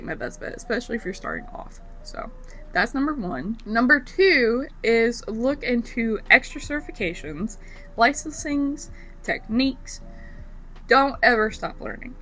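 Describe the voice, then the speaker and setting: Bright, raspy-voiced young woman vlogging. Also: mid-range voice. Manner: rambling, lots of fillers and repeats. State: raspy from bronchitis. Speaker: esthetician giving tips. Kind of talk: casual vlog talk to camera.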